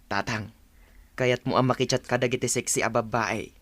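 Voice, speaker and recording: A man's voice: mid-range voice, steady tone, normal speed, fairly bright tone, dry recording, natural voice. A man playing an eager, coaxing son in an Ilocano radio drama, with an Ilocano Filipino accent.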